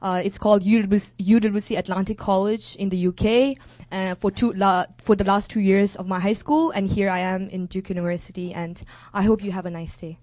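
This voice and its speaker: A young woman from India, a university student, introducing herself to a gathering with hesitant pauses and fillers. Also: medium pitch, fairly even intonation, a little mellow, dry recording. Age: young adult. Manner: hesitant, many fillers and restarts. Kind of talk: casual public introduction.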